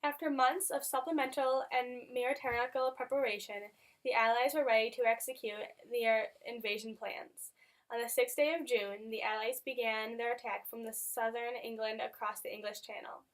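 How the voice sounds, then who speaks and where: A woman narrates a historical newsreel in a moderately high voice. She is reading prepared lines as a scripted report. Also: steady tone, moderate pace, rough recording.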